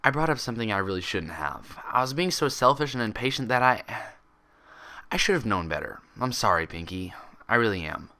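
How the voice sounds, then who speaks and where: Guy playing a meek guilty unicorn girl, hesitant, sorry about everything, bright tone, story reading. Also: on the low side, animated, brisk. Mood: remorseful. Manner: hesitant, apologetic. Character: meek, guilty young female unicorn patient.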